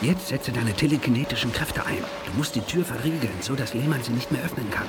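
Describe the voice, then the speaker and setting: An actor playing a tense, brave technician and secret agent with terse, urgent delivery in a radio drama. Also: male voice, mid-range voice, brisk, close to an everyday voice. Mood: hushed urgency. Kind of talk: 1980s German radio drama acting. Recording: loud machinery noise behind.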